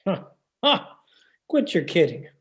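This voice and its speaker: An actor playing a laconic, skeptical police detective lieutenant in a radio drama, terse and unhurried. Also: mid-range voice, big pitch swings. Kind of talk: old-time radio drama re-creation.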